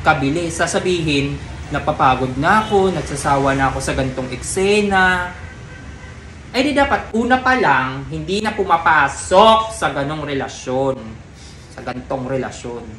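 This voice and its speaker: A man's voice: on the high side, expressive, moderate pace, a bit bright. A young man speaks in a casual, needling way, repeating himself to press his complaints. His voice rings in an echoey room with some faint noise behind it. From Philippines. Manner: pointed, complaining, repeats phrases for emphasis. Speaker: prankster in a couple's vlog. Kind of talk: casual reactive chat, needling a partner.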